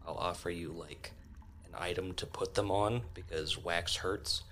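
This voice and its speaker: A man's voice: sits low, fairly even intonation, a little slow, a bit bright, slight background noise. A man playing a tabletop game, talking casually as he takes his turns.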